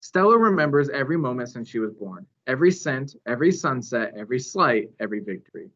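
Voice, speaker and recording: A man reads a children's novel aloud as a storyteller. His phrasing is dramatic and his pitch swings widely through the narration. The recording is a little dull and lo-fi. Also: big pitch swings, fast, fairly bright tone. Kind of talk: expressive read-aloud narration.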